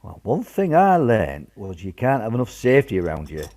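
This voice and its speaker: A man's voice: lowish voice, huge pitch swings, moderate pace, a little mellow. An actor playing a blunt, reassuring grandfather and war veteran in an amateur radio drama, with a folksy manner. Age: older. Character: gruff, reassuring grandfather, wartime veteran, family patriarch.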